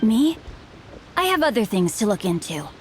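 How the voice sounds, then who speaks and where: A woman's voice: a little high, big pitch swings, moderate pace, noisy background. A woman voicing a bookish, chivalrous teenage boy swordsman in a video game, speaking in a formal, eloquent, earnest way. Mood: composed. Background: game music.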